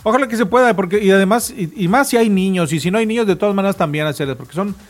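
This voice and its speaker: A man's voice: high voice, very fast. A man with a Mexican accent hosting a casual morning show chat. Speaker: radio show host.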